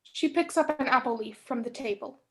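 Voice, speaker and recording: Young woman, high voice, scripted narration, stage directions reader. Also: fairly quick. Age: young adult.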